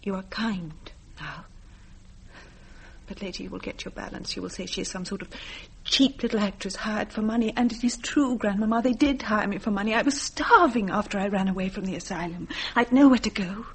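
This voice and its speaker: A woman's voice: mid-range voice, a little fast, husky. An actress playing a fragile young woman who claims a royal past, speaking intensely and often dropping to a whisper. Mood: sorrowful. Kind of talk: stage drama acting.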